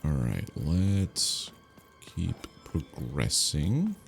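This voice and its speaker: A man's voice: extremely low pitch, huge pitch swings, very slow, creaky, faint music behind. A man hosting a casual let's-play, offhand and wry as he comments and reads out dialogue choices. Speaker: gaming video host.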